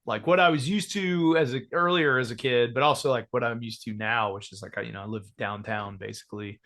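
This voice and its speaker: A man's voice: mid-range voice, fairly even intonation, brisk, a bit bright. A man in his thirties from Georgia in the United States, a musician talking loosely in an interview, with many fillers and restarts. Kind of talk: casual interview chat.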